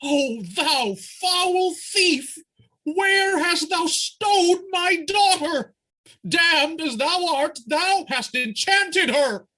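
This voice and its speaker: A woman's voice: extremely high pitch, very slow, exaggerated voice. A woman playing an outraged, imperious noble parent with declamatory delivery in a Shakespearean audio drama. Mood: furious. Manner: declamatory, forceful theatrical delivery.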